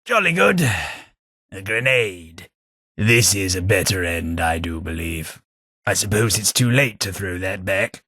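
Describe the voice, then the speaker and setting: A slow-talking, upper-class Southern English ghoul gentleman, voiced by a man for a video game, all dry wit and refinement. His voice is husky yet bright, sitting low with a lively, swooping pitch. The close studio recording is completely dry. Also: no room sound, heavily acted. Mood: dryly amused. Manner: dry, wry, refined delivery. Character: posh, witty male ghoul gentleman companion. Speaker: game companion. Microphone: close studio mic.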